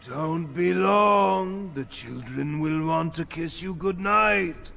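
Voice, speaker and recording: A devoted male knight under a spell in a fantasy game. His gravelly voice is fairly high and rather slow, doting and protective. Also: pushed and bright, exaggerated voice. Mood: dreamy, doting. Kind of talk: fantasy video game dialogue.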